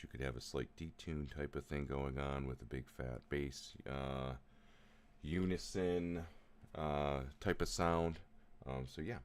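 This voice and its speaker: A man with a low voice, casually walking through synth settings on a live stream, rambling with lots of fillers. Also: smooth. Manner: relaxed, rambling explanations with many fillers. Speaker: music producer streaming a live session. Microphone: studio mic through a vocal chain. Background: synth music playing.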